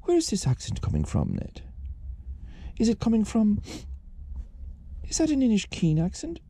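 A man's voice: sits high, wildly swooping pitch, normal speed, mellow, dry recording, full character voice. A man doing a doddering, pedantic Irish grandad, very muffled tone. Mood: pedantic, baffled. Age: older. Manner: rambling, hesitant, repetitive. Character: doddering, pedantic old grandad, a confused senior citizen.

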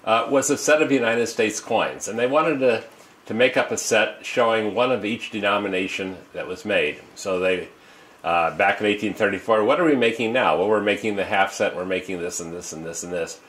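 A man's voice: on the low side, moderate pace, a bit bright. An older man seated at a desk in an office, telling a story to the camera in an informal, rambling way with many fillers and restarts. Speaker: coin dealer and auctioneer. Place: office with desk and leather chair, indoors. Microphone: fixed camera, seated at desk.

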